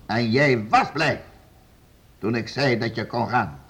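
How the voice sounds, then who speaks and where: Husky, stern, domineering nobleman. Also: medium pitch, takes it slowly, fairly bright tone. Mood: accusing, angry. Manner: commanding, scornful, emphatic. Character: stern, domineering male nobleman, bullying and sure of himself. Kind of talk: radio play acting.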